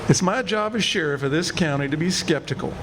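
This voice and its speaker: Man playing a folksy high-pitched western sheriff. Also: lightly acted. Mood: skeptical, steady. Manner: folksy, drawn-out frontier phrasing. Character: folksy, skeptical Old West sheriff from Texas. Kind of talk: staged radio theatre western. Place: senior center, live stage performance. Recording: noisy live hall recording.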